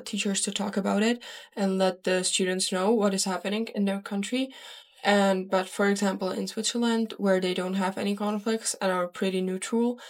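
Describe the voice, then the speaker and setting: A young woman from the Czech Republic with a Czech accent, giving her opinion in an informal student discussion. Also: medium pitch. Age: young adult. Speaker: student panelist.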